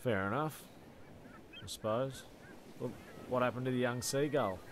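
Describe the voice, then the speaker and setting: A man's voice: mid-range voice, moderate pace, heavy background noise, lightly acted. A man playing a groggy, sweary, grumbling castaway in a comedy sketch. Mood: grumbling, resigned. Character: groggy, grumbling male castaway goat jockey.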